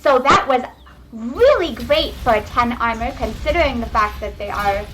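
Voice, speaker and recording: Excitable young woman casting a game live. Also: on the high side, normal speed, soft background music. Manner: excitable, chatty, talks to viewers. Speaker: esports caster. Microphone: webcam microphone. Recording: webcam mic with faint noise.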